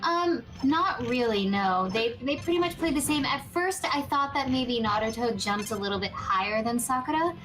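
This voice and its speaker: A woman's voice: on the high side, expressive, moderate pace, hardly any pauses. A woman co-hosting a gaming show, giving casual commentary on gameplay. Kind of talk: casual game commentary chat. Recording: music playing behind the voice. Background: music.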